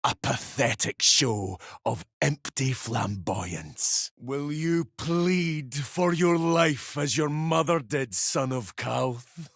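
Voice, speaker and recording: An actor playing a stern space marine captain in a war video game, giving clipped, authoritative orders in a formal military manner. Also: male voice, medium pitch, slow pace, creaky, a bit bright, dry recording, studio quality, exaggerated voice. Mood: slow, sneering menace. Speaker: military commander. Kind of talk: dramatic video game voice acting.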